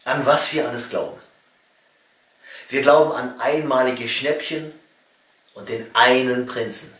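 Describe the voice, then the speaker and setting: A middle-aged man from East Germany reading a satirical comedy monologue with wry emphasis and pointed pauses. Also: mid-range voice, a bit bright. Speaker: cabaret comedian and author. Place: small home study, indoors. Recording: roomy echo, distant microphone.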